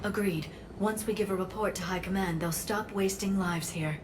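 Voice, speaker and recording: A woman's voice: medium pitch, fairly even intonation, normal speed, lightly acted. Earnest alien woman scholar played by a woman, noisy backdrop. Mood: grave, explaining. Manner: precise, explanatory. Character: earnest female alien scholar, informed and grave. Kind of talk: video game dramatic exposition. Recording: noticeable ambient noise.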